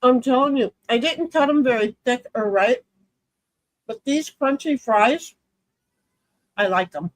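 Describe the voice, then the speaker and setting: A woman's voice: unhurried, pauses often, poor audio. A woman streaming a card game, chatting and rambling from a hot room at home. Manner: chatty, rambling, self-described high pitchy voice. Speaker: streamer playing online cards with friends. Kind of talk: casual chat while gaming.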